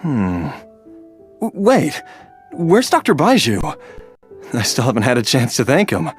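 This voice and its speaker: A man's voice: mid-range voice, wildly swooping pitch, measured pace, soft background music. A man voicing a grateful, mild-mannered father recovering from illness in a video game. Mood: relieved, puzzled.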